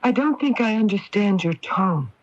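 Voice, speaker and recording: An actress playing a scheming, cold-blooded wife in an early 1980s radio mystery, with deliberate, dramatic delivery. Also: female voice, mid-range voice, expressive, natural voice. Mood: guarded. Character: scheming, cold-blooded wife who poisoned her husband. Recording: muffled lo-fi 1981 AM radio recording.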